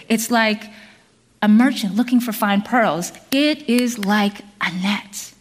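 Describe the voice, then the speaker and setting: A middle-aged woman born in South Korea, preaching a sermon to a congregation in an earnest, measured way, with some fillers and restarts. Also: mid-range voice.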